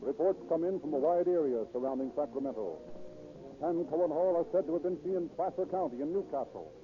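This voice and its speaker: An actor as the formal, dramatic narrator of a 1930s police radio drama, delivering scripted case narration. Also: male voice, on the high side, a little fast, close to an everyday voice. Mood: grave, dramatic. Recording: tinny 1930s radio recording with music.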